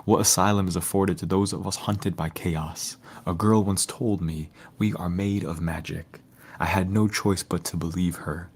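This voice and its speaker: A man giving a solemn, measured, lyrical reading of spoken-word poetry. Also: low-pitched, fairly even intonation, breathy edge. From Detroit, United States. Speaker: poet.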